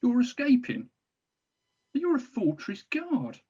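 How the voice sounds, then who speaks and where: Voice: medium pitch, huge pitch swings, takes it slowly, mellow, slightly rough, full character voice. A dull, lo-fi recording of an actor playing a cowardly male orc captain in a fantasy comedy read. He plots in an urgent, conspiratorial way, exasperated with those around him. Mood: desperate. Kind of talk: table read acting.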